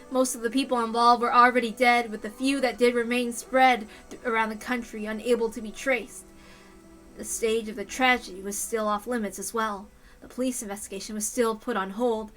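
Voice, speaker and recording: A woman narrates a story in the first person with mournful intensity. Her voice is high and bright, and music plays behind her reading. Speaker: narrator reading a visual novel aloud.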